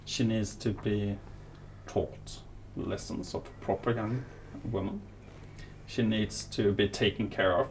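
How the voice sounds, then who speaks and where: A man playing a cold, haughty noblewoman in a tabletop game, imperious and curt, with halting delivery. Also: on the low side, fairly even intonation, low-quality recording. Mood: cold, stern. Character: haughty, impatient Regency noblewoman, cold and commanding mother. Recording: faint background noise.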